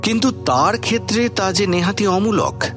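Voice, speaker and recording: A man narrating a suspense story for a radio drama as a wry, composed storyteller with expressive delivery. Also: high-pitched, normal speed, music in the background.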